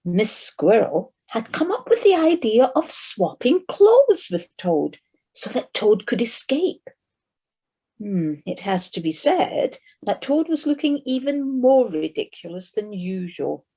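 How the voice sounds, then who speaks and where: A woman with a husky voice narrating a scripted children's story for an audio play. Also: a little high, sing-song, slow, dark tone.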